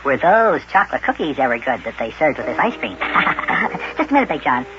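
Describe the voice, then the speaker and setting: A man playing a small, innocent, excitable boy who exclaims and stammers in 1950s children's radio style. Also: mid-range voice, fairly quick, natural voice. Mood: gleeful. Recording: muffled old radio recording with music.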